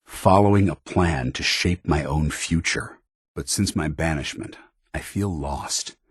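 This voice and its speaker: An actor playing a stern, duty-bound military commander in a video game, speaking formally with clipped authority. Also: male voice, extremely low pitch, breathy edge, no room sound, studio quality, heavily acted. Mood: troubled, vulnerable. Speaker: commanding officer. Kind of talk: scripted video game dialogue.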